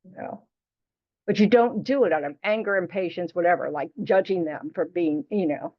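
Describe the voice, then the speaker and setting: A woman's voice: fairly low pitch, moderate pace. A woman teaching Buddhist practice to a small class in a chatty, frank way, often teasing her students and saying "you know". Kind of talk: casual dharma teaching to a class. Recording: dull lo-fi class recording.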